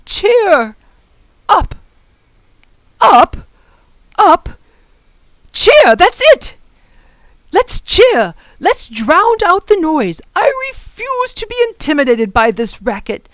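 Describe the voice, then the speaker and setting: A woman's voice: high voice, big pitch swings, a little slow, dry recording, voice pushed up. A woman voicing a fussy, kindly male professor in a storybook, exclaiming and instructing in an old-fashioned way. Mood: rousing. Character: fussy, kindly male professor, easily flustered, rallying children.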